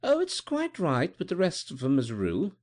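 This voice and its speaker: A man reading as a deferential old-school butler who speaks politely and formally, his pitch raised well above the narrator's own and moving widely. It is a character voice in a storybook audiobook. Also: huge pitch swings, brisk, a little mellow. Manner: polite and formal, saying sir often. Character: deferential, watchful male butler.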